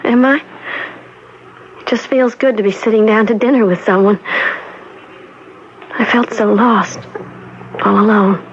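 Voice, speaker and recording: A woman's voice: a little high, steady tone, measured pace, long pauses. An actress in an old radio drama playing a lost, timid young woman who talks in a tentative, naive way. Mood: shyly pleased. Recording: muffled, hissy 1970s radio broadcast recording.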